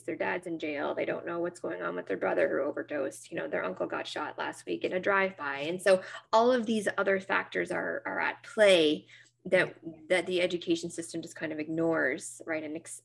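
A woman's voice: lowish voice, creaky. A middle-aged woman from Nova Scotia with a Canadian accent, speaking earnestly as a therapist guest in an interview, with many fillers and restarts. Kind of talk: interview conversation.

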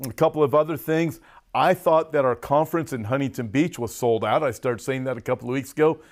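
A man's voice: medium pitch, brisk. An American man speaking as a pastor to an online audience in an informal, folksy sermon style, recovering from a cough with a lozenge in his mouth. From United States. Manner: folksy, direct address with emphatic stresses. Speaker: pastor hosting a prophecy update.

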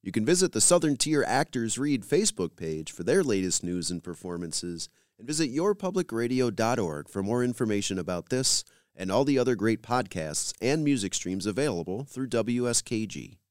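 A man hosting a podcast, reading scripted links in a measured, announcer-like way. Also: fairly low pitch, big pitch swings, no room sound, clean studio sound. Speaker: podcast host. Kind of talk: scripted podcast host narration.

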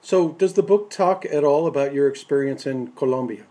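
Host: man, mid-pitched, steady measured questions. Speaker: radio program host. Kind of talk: radio interview and introduction.

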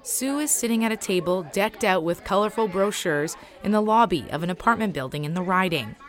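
A woman's voice: medium pitch, expressive, a little fast, some chatter behind. A woman narrating as a podcast host from a script.

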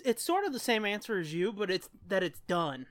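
A man's voice: high-pitched, big pitch swings, a little fast, fairly bright tone, dry recording, clean studio sound. A man co-hosting a podcast in casual banter, joking and crude with plenty of swearing. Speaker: podcast co-host.

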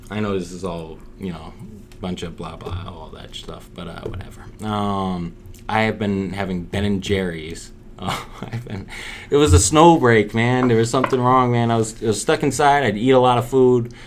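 A man's voice: lowish voice, normal speed. A man with a slightly scratchy voice chatting casually on a podcast, rambling with lots of fillers and jokes. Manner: rambling, many fillers, joking. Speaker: podcast co-host. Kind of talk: casual joking chat.

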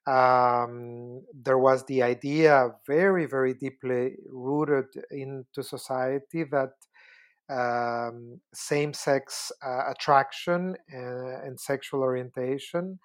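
A man in his fifties from Costa Rica, answering interview questions in a measured, deliberate way with frequent pauses. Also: medium pitch, steady tone. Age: 50s. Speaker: guest, UN human rights expert.

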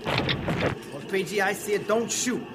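Actor as a high-voiced American army captain. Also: male voice, lively intonation, slow pace. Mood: commanding. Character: American army captain, firm, steady commander. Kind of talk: radio drama acting. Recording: faint background noise.

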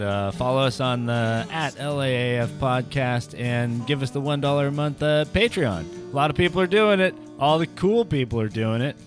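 A man co-hosting a podcast in casual banter, wry and rambling, often repeating his phrases. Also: mid-range voice. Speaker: podcast co-host.

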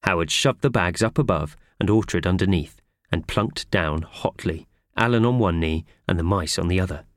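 A man narrating a children's story from a script in an expressive, animated way. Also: deep voice, moderate pace, no room sound. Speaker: audiobook narrator.

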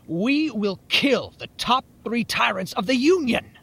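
An actor playing a bloodthirsty, menacing soldier conspirator, intense and dramatic, in a historical audio drama. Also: male voice, very high voice, big pitch swings, measured pace, creaky, fairly bright tone, breathy edge, exaggerated voice. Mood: ruthless.

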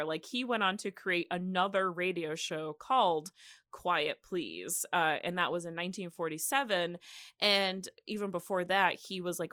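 Woman, podcast co-host, slightly low pitch, bright tone, casual storytelling, informal, conversational. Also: no echo, clean studio sound. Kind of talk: casual chatty retelling of radio history.

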